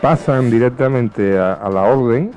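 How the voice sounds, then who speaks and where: A man's voice: medium pitch, a little slow, a little mellow. A man giving his view casually as a radio panellist. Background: faint music.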